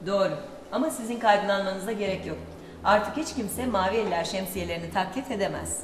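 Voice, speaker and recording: A woman's voice: medium pitch, fairly quick, background noise. A young adult woman speaking reassuringly as a mentor in a scripted educational programme for children. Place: large indoor library-like meeting room. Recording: echoey room, loud music behind.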